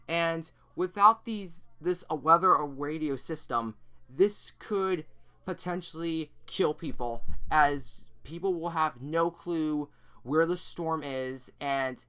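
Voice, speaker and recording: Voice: medium pitch, takes it slowly, sharp tone, smooth. An earnest, emphatic YouTuber giving semi-scripted commentary on an issue. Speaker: YouTuber presenting an issue video.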